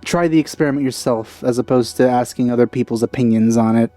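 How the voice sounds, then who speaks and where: Casting: relaxed male let's-player, mellow mid-range voice, wry asides, faint game music behind. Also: mid-range voice, quick pace. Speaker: let's-play commentator. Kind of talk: casual game commentary.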